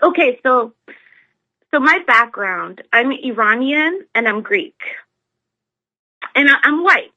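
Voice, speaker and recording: A woman's voice: high-pitched, lively intonation, takes it slowly, long pauses, no room sound, dull audio. An American woman calling in to a talk show, speaking hesitantly with many fillers and restarts. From United States. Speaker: caller. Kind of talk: phone-in call.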